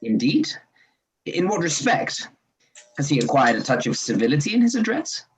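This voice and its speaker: An actor playing a smooth, charming young officer in a live-read radio play, probing with careful hesitation. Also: male voice, fairly high pitch, big pitch swings, moderate pace, a little hiss or hum, poor audio. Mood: guarded.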